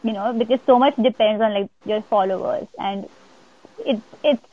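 Woman w a stammer, kinda high voice, lots of "like", dull dry sound. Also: normal speed. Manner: earnest, many fillers. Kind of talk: casual chat.

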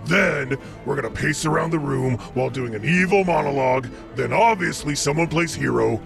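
Gravel-throated male demon boss; blustering, impatient, slightly high-set voice, music underneath. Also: moderate pace. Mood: scheming. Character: bossy irritable male demon villain, tiefling gang boss. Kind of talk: comedic fantasy villain acting. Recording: music behind the voice.

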